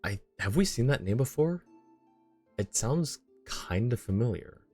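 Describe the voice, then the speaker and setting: A man's voice: mid-range voice, lively intonation, unhurried, warm tone, breathy edge. A man hosting a livestream, chatting casually and dropping dry, joking asides as he reacts to a game. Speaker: livestream host. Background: game music.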